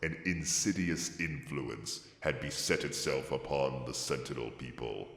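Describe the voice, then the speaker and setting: A forceful, growling male narrator of dark-fantasy game lore. The pitch is abyssally low and swings widely for weight. Every word is pronounced with portentous care, echoing as in a great hall. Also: a little slow, heavily acted. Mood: grave, ominous. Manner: over-enunciated, portentous. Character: imposing, growly demonic lore narrator, male, grave and ominous. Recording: echoey hall-like reverb.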